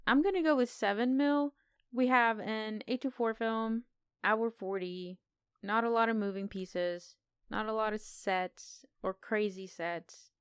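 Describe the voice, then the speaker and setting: A woman's voice: fairly high pitch, fairly even intonation, unhurried, clean tone, no room sound, clean studio sound. A woman co-hosting a podcast, giving film opinions in casual, unscripted conversation. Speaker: podcast co-host. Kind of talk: casual film review chat.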